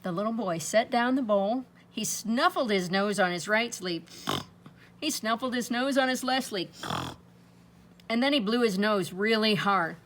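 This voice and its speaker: Lady reading a kids folktale aloud, draws out key words, bit of a noisy recording. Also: medium pitch. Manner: dramatic pauses and drawn-out emphasis. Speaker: storybook reader. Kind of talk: expressive children's read-aloud.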